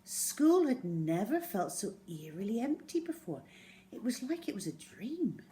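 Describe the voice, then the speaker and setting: A woman reading a children's story aloud in an expressive way, acting out the words as she goes. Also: mid-range voice, measured pace, slight background noise. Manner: expressive, acts out dialogue and sound words.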